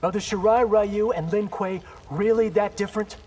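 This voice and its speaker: A man's voice: high voice, fairly even intonation, moderate pace, darkish tone, big-room sound, slight background noise, lightly acted. A man playing a friendly, curious young warrior in a video game, talking in a casual, eager way. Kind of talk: video game fight dialogue.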